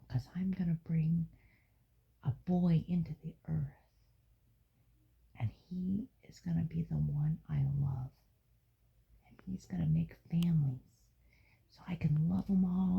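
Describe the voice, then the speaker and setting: A gentle, loving god who speaks in a soft, hushed whisper, as a woman telling the story puts him on. The voice sits low and gravelly, and the recording sounds dull. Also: fairly even intonation, a little slow. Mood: tender.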